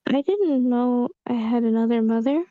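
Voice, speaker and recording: A woman's voice: on the high side, normal speed, no room sound, lightly acted. A woman playing a curious, wary, polite young girl in a screenplay table read, hesitant and questioning, on a slightly muffled microphone. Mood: wary, puzzled.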